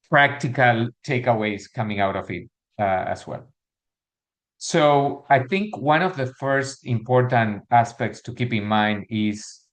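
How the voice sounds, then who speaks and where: A middle-aged man from Argentina with an Argentine Spanish accent, giving an online workshop presentation over a video call, hesitant and full of fillers and restarts. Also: on the low side. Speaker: workshop speaker.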